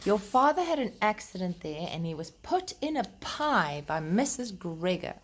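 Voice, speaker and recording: A woman voicing a firm, cautioning mother rabbit in a storybook, speaking deliberately. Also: mid-range voice, sing-song. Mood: stern warning. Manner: slow, deliberate storybook delivery.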